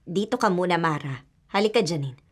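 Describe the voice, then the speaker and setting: A woman playing a wary old grandmother in a radio drama, speaking gravely and with suspicion. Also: fairly low pitch, animated, a little fast. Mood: grave warning. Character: wary, foreboding old grandmother with a sixth sense. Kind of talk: Filipino radio drama acting. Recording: music bed underneath.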